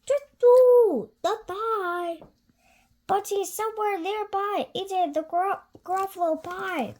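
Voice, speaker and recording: A woman's voice: very high-pitched, slow pace, darkish tone, voice pushed up. A woman voicing an airy, hooting owl from a storybook. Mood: breezy. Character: hooting owl in a treetop house.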